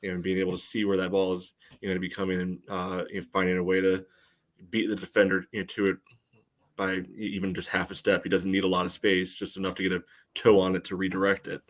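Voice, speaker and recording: A man's voice: low voice, completely flat delivery, quick pace. A man co-hosting a soccer podcast, casually analysing play and often padding his thoughts with "you know". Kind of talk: casual chat, sports analysis.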